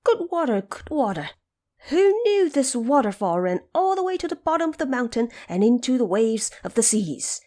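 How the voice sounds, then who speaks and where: A woman's voice: a little high, big pitch swings, moderate pace, darkish tone, pitched above the performer's own voice. A woman voicing curious, playful monkeys in an audio drama storybook, with eager questions and exclamations. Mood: admiring, challenging.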